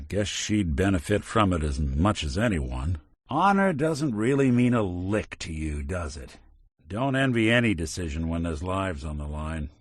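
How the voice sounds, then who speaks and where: An actor voicing a world-weary, principled robot detective in a video game, with an American accent and a wry, hard-boiled, old-time private eye manner. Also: male voice, lowish voice, breathy edge, no echo, clean studio sound, exaggerated voice. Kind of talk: video game character acting.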